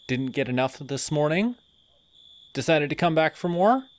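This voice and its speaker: A man voicing a sardonic male telepathic villain in an audio roleplay, mocking and deliberate. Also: a little high, lively intonation, natural voice.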